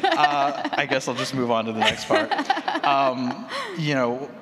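A man's voice: mid-range voice, unhurried. A man presenting as host of a conference panel, speaking to a live room. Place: large indoor conference session room. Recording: echoey hall, distant room noise.

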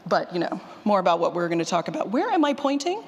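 A woman's voice: fairly low pitch, very animated, fast talker, slightly rough, dull audio. A woman giving an informal presentation to an audience on a handheld mic, chatty and self-interrupting with jokey asides. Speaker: conference session speaker. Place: large room with an audience. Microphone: handheld microphone. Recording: long hall reverb.

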